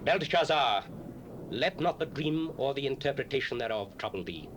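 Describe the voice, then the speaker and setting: A man's voice: mid-range voice, expressive, normal speed, noisy background, natural voice. A man playing a proud ancient king. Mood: regal. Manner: stately, declamatory.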